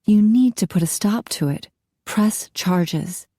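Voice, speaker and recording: A woman's voice: medium pitch, takes it slowly, warm tone, slightly rough, no echo, studio quality, pitched above the performer's own voice. A woman voicing a young female police officer who speaks firmly and urgently. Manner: firm, urgent, commanding.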